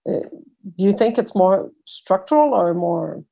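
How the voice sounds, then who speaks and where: A woman's voice: medium pitch, animated, measured pace, creaky, highs cut off. Woman, curious. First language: French. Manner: curious, questioning. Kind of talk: casual conversation.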